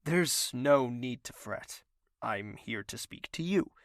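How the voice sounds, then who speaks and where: A man's voice: medium pitch, lively intonation, slow, dry recording, studio quality. A man playing a lovesick, stubborn young prince in an audio drama, earnest, formal and melodramatic. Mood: authoritative, probing.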